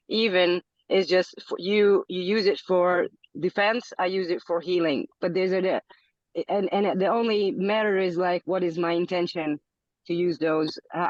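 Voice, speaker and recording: A woman's voice: fairly low pitch, no echo. Female guest; searching, repetitive phrasing; dull, dry call audio. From Hungary. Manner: searching, repeats words when thinking. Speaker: podcast guest. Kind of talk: casual interview talk.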